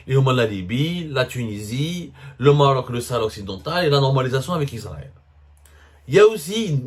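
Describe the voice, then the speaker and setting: A man's voice: on the high side, husky. A man with an Algerian accent giving opinionated political commentary to viewers, speaking emphatically and repeating phrases for stress. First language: French. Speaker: host of a political commentary show.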